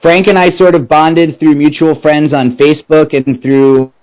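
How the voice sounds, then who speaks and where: A man in his thirties, co-hosting a podcast from his house. He speaks earnestly and a little haltingly, repeating words as he finds them, on dull lo-fi audio. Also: on the high side, fairly even intonation, a little mellow, clear, resonant tone. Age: 30s. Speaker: podcast co-host. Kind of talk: casual interview chat.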